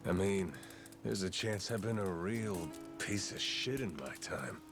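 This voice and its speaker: An American male actor playing a gruff, blunt but honorable yakuza with no memory, talking in rough slang in a video game cutscene. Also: deep voice, lively intonation, unhurried. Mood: earnest, frank. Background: game music.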